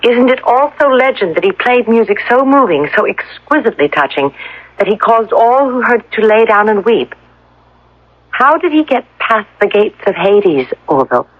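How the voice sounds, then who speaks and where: A woman's voice: a little high, very animated, normal speed, no room sound. Lo-fi dry radio broadcast, determined woman captive, probing, coaxing, insistent, dramatic delivery. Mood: persuasive.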